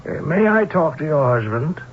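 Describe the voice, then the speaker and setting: An actor playing an urbane, dry-witted scholar-sleuth in a vintage radio mystery, erudite, wry and courteously self-assured. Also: male voice, on the high side, very animated, normal speed. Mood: probing, courteous. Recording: muffled, hissy old radio broadcast.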